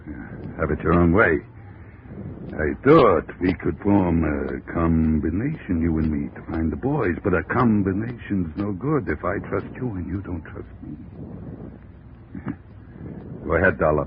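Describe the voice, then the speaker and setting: An actor playing a smooth, menacing gangster boss who talks slowly and chuckles mockingly, in 1940s radio drama style. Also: male voice, deep voice. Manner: slow, oily, mocking chuckles. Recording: tinny scratchy old radio broadcast.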